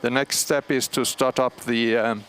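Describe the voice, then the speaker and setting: Brief: Swedish male technician, mid pitch, measured commentary with lots of "uh", machinery noise clearly behind. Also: fairly even intonation, fairly bright tone, low-quality recording. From Sweden. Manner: measured, explanatory, frequent pauses and fillers. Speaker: superintendent of a historic radio transmitter start-up. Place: large machine hall at a radio station. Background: humming machinery and alarm bells.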